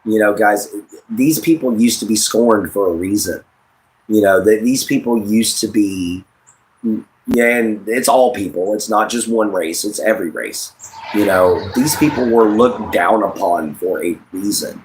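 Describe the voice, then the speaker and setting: Livestream host: American male, late 30s, fairly low voice, casual and opinionated, circles back over points while addressing the audience. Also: measured pace, rough recording. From United States. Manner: addresses listeners directly, rambling and repetitive.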